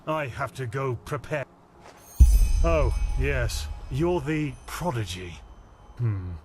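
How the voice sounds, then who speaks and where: A man acting a stern, weary veteran warrior mentor in a fantasy video game, gruff and measured. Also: medium pitch, animated. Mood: gruff, skeptical. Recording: game music behind the voice.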